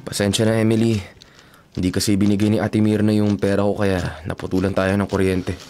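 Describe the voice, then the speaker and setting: An actor playing a hard-up, skeptical younger brother who grumbles in exasperation, in a radio drama. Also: male voice, fairly low pitch, flat delivery, brisk, dark tone, natural voice. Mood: weary, complaining.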